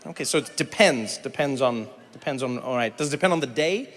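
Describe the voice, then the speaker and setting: A middle-aged man from Africa, doing animated stand-up comedy and crowd work, breaking into shouted impressions and teasing banter. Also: medium pitch, fairly quick. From South Africa. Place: stage in a large theater before an audience. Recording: live theater with long hall reverb and faint room noise.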